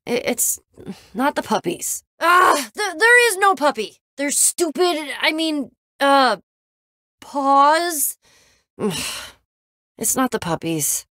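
A woman playing a guarded, sensitive young woman adventurer in a video game, with halting, emotional, conversational delivery. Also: fairly high pitch, a bit bright, no echo, clean studio sound. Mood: flustered, upset.

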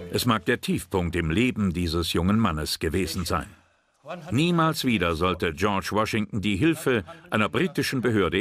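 Male narrator, low voice, measured, serious, history documentary, loud music underneath.

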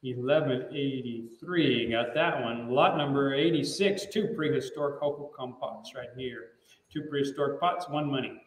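A man's voice: medium pitch, normal speed. A middle-aged American man working as an auctioneer, calling bids in a repetitive, rhythmic live auction chant. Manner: repetitive rhythmic bid-calling with filler phrases. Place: large indoor auction room. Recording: roomy, picked up at a distance.

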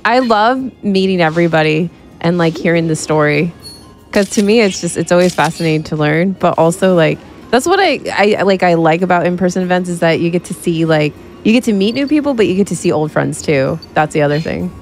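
Low-voiced woman chatting, rambling. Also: fairly quick, soft background music. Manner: rambling, heavy on "like" fillers. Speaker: speedrun commentator. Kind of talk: casual chat.

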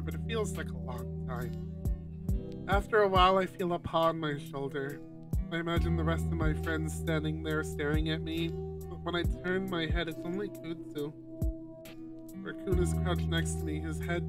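A man's voice: fairly high pitch, recorded from a distance. A man streaming a visual novel, reading its narration aloud in a dramatic storytelling style.

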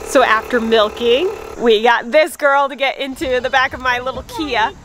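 A woman's voice: high voice, moderate pace. Chatty young woman vlogging outdoors on a farm. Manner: chatty, light laughs, baby-talks to her dogs. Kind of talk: casual vlog narration. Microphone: phone at arm's length.

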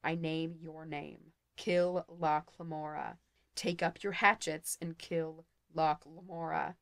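A gloating male sorcerer, cruel and unhurried, as a woman reads him aloud. He speaks slowly in a low, gravelly register with a menacing calm. The sound is close and dry. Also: dry recording. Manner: slow, silky, menacing.

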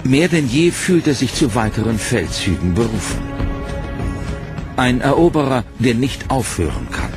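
A man reads a history documentary narration with a measured, serious delivery. His voice sits at an ordinary middle pitch, and a prominent dramatic music bed runs underneath him throughout. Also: sing-song.

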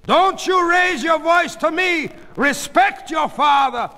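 A man's voice: very high voice, slow, bright, forceful tone, in a large hall, pitched above the performer's own voice, heavily acted. A man reading a story, voicing an exasperated, defensive middle-aged husband who blusters and argues indignantly. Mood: indignant, bellowing.

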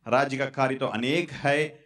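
A man's voice: medium pitch, fairly bright tone. Man preaching into a handheld mic. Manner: halting, repetitive, fillers and restarts. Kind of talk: sermon with prayer. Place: church stage in front of curtains.

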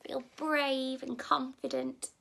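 A young woman, a nursery teacher, reading a children's story expressively and gently, as if to small children. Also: sits high, steady tone, slow pace, gravelly, rough recording. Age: young adult. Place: indoor room at home.

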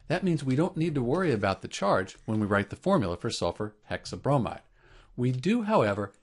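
A man narrating a chemistry lesson as a teacher, explaining step by step in a scripted instructional style. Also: mid-range voice. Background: voice-over for a screen tutorial.

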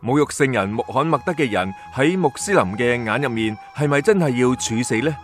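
A man with a Hong Kong Cantonese accent reads scripted radio commentary. His pitch sits in the middle of the male range, and light music plays underneath. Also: lively intonation, brisk. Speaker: radio programme narrator.

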